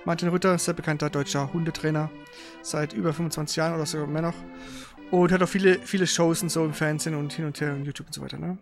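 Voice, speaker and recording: A man's voice: fairly high pitch, little pitch movement, quick pace, soft background music. A man hosting a gaming livestream, chatting informally and rambling a little. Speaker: gaming stream host. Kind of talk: casual livestream chat.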